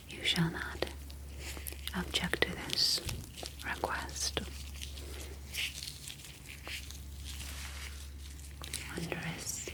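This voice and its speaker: Slow, whispering alien scientist played by a woman. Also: lowish voice, rough recording. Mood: clinical, polite. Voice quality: soft, breathy unvoiced whisper. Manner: slow, measured, formal, deliberate phrasing. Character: calm, formal humanoid alien scientist from an undersea colony. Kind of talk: whispered ASMR roleplay.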